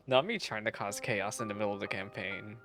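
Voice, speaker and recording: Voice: medium pitch, brisk. A game streamer giving casual, giggly, amused reaction commentary while playing. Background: faint game music.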